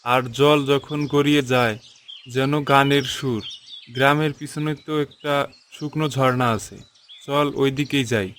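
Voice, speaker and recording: A man's voice: medium pitch, steady tone, unhurried, a bit bright, slight background noise, natural voice. A man voicing a clever, thoughtful young friend in a cartoon fairy tale, explaining things reflectively. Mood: pondering.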